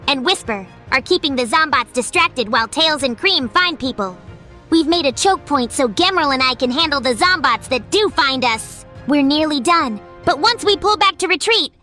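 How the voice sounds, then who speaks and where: A woman acting a spirited, take-charge young female hedgehog in a comic dub, brisk and earnest. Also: high voice, lively intonation, bright, forceful tone, faint music behind. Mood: urgent, commanding.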